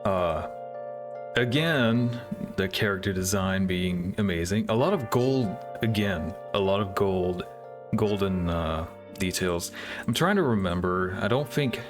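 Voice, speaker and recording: A man with a low-pitched voice gives casual, off-the-cuff reaction commentary. He sounds hesitant, thinking aloud as he goes. Music is clearly audible behind him. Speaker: reaction video host.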